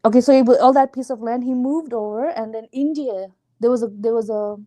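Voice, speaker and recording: A woman's voice: a little high, brisk, dark tone. A woman from Nepal, hosting a casual conversation and telling her story in a rambling, chatty way. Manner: chatty and rambling, many restarts and fillers. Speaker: host.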